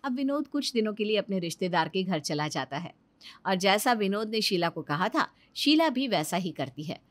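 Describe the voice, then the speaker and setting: A woman narrator reading a scripted children's story in an expressive storytelling manner. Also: mid-range voice, normal speed, dry recording.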